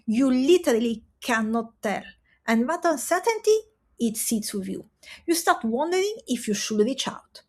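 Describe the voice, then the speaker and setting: Higher-voiced woman. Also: animated, a little mellow. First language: Italian and French. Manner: earnest, emphatic, with rhetorical pauses. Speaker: business coach for coaches. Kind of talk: direct-to-camera talk.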